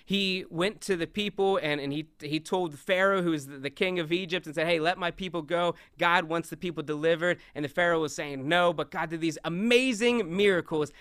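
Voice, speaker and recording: A young man with a big, loud voice preaches from a stage, animated and always in motion. His tone is bright and crisp, slightly higher than most men's. The sound is dry and close. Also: brisk, few pauses, no room sound. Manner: animated, talks with hands, moves around on stage. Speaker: youth speaker. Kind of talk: lively stage talk on scripture.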